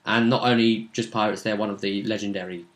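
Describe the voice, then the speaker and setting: A man's voice: fairly low pitch, steady tone, fast talker. A man acting as game master and host, casually recapping a role-playing session in a storytelling way that teases suspense.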